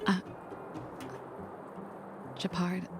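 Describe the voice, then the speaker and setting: A woman voicing a guarded young woman in a game who admits she runs from her problems, with a low, breathy delivery. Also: drawn-out words. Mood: reflective. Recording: game audio with music behind.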